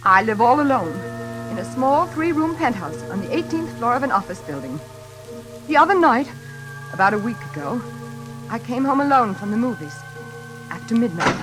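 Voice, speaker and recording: An actress in a 1940s radio suspense drama playing an anxious, frightened woman in her thirties, speaking haltingly and dramatically. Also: a little high, big pitch swings, poor audio. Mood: uneasy. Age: 30s. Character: frightened single woman haunted by her mother's killer. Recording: old radio recording, faint music behind.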